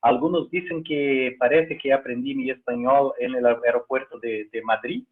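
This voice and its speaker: A man whose first language is Portuguese, with a Brazilian Portuguese accent, presenting a live webinar in halting second-language speech with many fillers and restarts. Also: medium pitch, fairly even intonation. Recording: tinny phone-like line.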